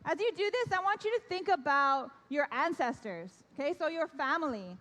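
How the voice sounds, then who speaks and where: A young woman with a high, bright-sounding voice hosts a ceremony for kids, coaxing them into call-and-response. Her first language is Korean, though she grew up in Canada. Her words ring out with the long reverb of a large hall. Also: expressive, moderate pace. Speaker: event host. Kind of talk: addressing a young audience at an awards ceremony.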